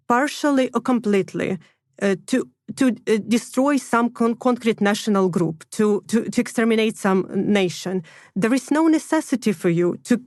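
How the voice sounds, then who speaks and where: Earnest Ukrainian-accented woman, higher voice. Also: darkish tone, no echo, clean studio sound. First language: Russian. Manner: measured, deliberate, restarts words while building points. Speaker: guest, human rights lawyer. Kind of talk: earnest interview answer.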